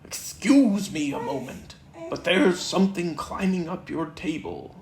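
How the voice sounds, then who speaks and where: Reader doing a bouncy loud tiger, cheery and full of himself, a bit raspy, roomy sound. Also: medium pitch, lively intonation, measured pace. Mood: cheerful. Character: bouncy, boastful young male tiger. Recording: roomy echo, faint background noise.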